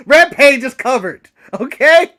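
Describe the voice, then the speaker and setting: An American man co-hosting a casual podcast, bantering in an animated way and repeating phrases for emphasis. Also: extremely high pitch, slow pace. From United States. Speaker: wrestling podcast co-host. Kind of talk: casual banter and wrestling commentary.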